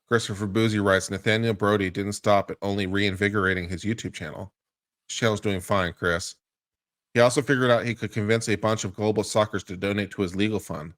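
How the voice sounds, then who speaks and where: A man with a fairly low voice works as a legal commentator. He reads formal legal text aloud in a dramatic way and drops into casual, incredulous, salty asides. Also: a bit bright. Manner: profane, incredulous asides between formal read passages.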